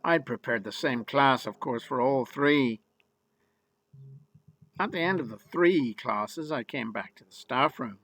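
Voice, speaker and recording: A man's voice: mid-range voice, lively intonation, a little slow, fairly bright tone. An older man from Ireland telling his own life story in the first person, in a wry, rueful and emphatic way. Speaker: memoir narrator.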